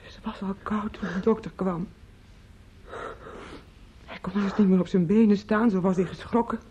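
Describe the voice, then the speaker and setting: An actress in a Dutch radio play playing an anguished, talkative female relative who breaks terrible news, theatrical, halting and pleading. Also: medium pitch, steady tone, warm tone, breathy edge, close to an everyday voice. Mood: sorrowful. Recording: muffled, noisy old radio play recording.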